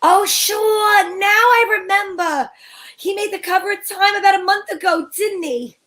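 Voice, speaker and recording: A breezy, flirtatious lady detective acted by a woman with a high voice. She wisecracks archly in the style of a 1940s radio mystery, moving between narration and banter. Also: measured pace, fairly bright tone. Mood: flustered, chatty. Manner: arch, wisecracking, endearments. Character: sassy female private eye, flirtatious and breezy.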